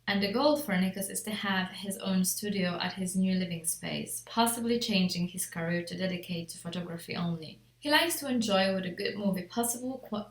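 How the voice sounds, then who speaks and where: A woman reads a scripted project presentation as an interior designer, in a measured, formal way. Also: mid-range voice. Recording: echoey untreated room.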